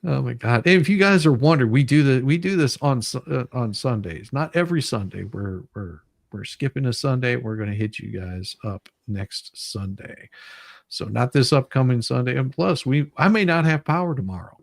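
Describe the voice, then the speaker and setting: A man's voice: medium pitch, lively intonation, normal speed, gravelly, no echo, clean studio sound. A man hosting a casual livestream, chatting in an informal, rambling way. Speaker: livestream host.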